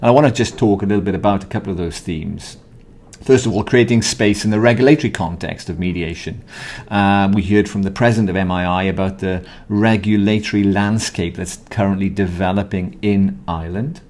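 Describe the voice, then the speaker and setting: Low-voiced man telling a story. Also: a little fast, darkish tone. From Wales. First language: Welsh. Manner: storytelling with playful asides. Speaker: podcast co-host. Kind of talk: conversational podcast chat.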